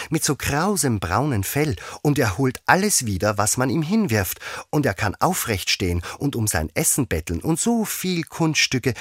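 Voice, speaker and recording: A man's voice: low voice, breathy edge, no echo, studio quality, exaggerated voice. A man voicing an eager, polite young girl in a children's fairy tale, earnest and gushing.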